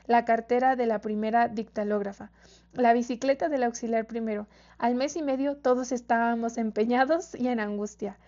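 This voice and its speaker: A woman's voice: a little high, dark tone. A woman reading literary fiction aloud as an audiobook narrator, carefully, now and then stumbling and repeating a word. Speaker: audiobook reader. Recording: slightly dull, lo-fi sound.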